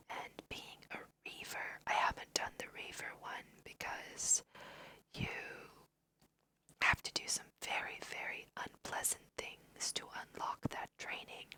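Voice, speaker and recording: A woman whispering softly and unhurriedly in an ASMR style as she guides listeners through a video game, mixing read-aloud text with casual asides. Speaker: host of a video game let's play.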